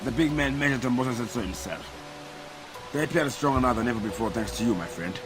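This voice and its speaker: A man's voice: medium pitch, fast talker, over music, lightly acted. A man playing an ambitious militia officer in a video game, chummy and boastful as he persuades. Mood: scheming, chummy. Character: ambitious, scheming militia officer hungry for power. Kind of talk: video game cutscene acting.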